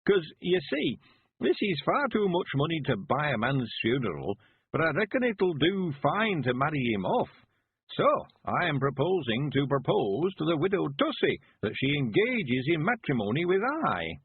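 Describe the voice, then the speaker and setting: A man voicing a cheerful, frail 91-year-old man, quavering and slow, rustic and stubborn. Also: a little high, sing-song, slightly rough, no room sound, voice pushed up, heavily acted. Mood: cheery. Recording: phone-like lo-fi sound.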